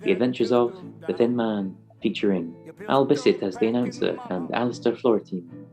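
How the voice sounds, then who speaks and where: A man's voice: on the low side, normal speed, a little mellow, soft background music, close to an everyday voice. A man playing an old-time radio show announcer, reading scripted introductions. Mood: presentational.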